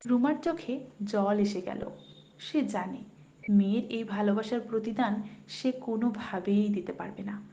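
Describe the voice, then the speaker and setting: A woman's voice: mid-range voice, measured pace, mellow, muffled recording. A woman narrating a scripted cartoon story as its storyteller.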